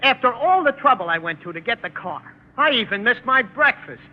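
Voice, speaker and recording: High-pitched lovesick teenage boy. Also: animated, fairly quick. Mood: peeved. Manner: naive, eager, plaintive. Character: earnest, lovesick, high-voiced teenage schoolboy. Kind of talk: 1940s radio sitcom acting. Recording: muffled, faintly noisy old radio recording.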